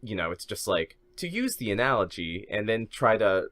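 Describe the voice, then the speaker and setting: Deep-voiced man thinking aloud. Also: big pitch swings, moderate pace, a bit bright. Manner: thinking aloud, repeats words as he restarts. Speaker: podcast co-host and actor. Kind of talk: casual podcast chat.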